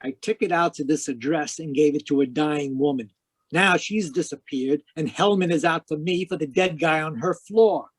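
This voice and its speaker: A man playing a hard-boiled, wisecracking private investigator in a 1940s-style radio noir drama, deadpan, clipped and sardonic. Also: on the high side, normal speed, husky, dry recording. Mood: urgent, impatient. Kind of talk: 1940s radio noir acting with first-person narration. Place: live remote video-call performance.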